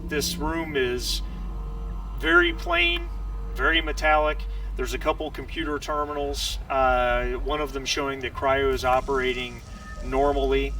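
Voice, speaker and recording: A man running a tabletop game as game master, narrating casually and haltingly as he thinks aloud. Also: medium pitch, fairly bright tone. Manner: halting, thinking aloud while keeping track of players. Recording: distant mic with clear background noise.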